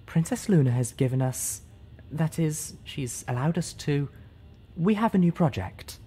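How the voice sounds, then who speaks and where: A man voicing a gentle, soft-spoken female pony who sounds weakened and short of breath. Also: on the high side, animated, measured pace, breathy edge, voice pushed up. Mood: pleading.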